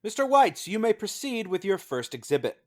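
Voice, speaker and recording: A man's voice: high voice, sing-song, moderate pace. A man hosting a mock trial as presiding judge, reading a script in a formal, ceremonious way.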